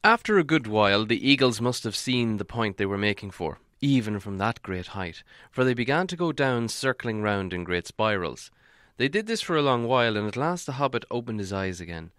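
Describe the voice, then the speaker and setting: A man's voice: fairly low pitch, expressive, normal speed, a bit bright, dry recording, studio quality. Man reading a storybook steadily. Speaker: audiobook reader. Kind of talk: audiobook narration.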